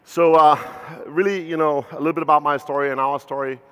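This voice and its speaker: A man's voice: fairly high pitch, fairly even intonation, brisk, fairly bright tone, clean tone. A man in his thirties with a Danish accent gives a conference keynote as a company founder, talking loosely with many fillers and restarts. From Denmark. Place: large conference stage. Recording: long hall reverb from a big venue.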